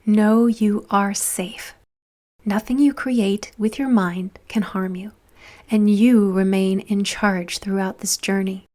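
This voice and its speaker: A woman narrating a guided visualization in a soft, soothing, deliberate voice with breathy phrase endings and long pauses. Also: mid-range voice, fairly even intonation.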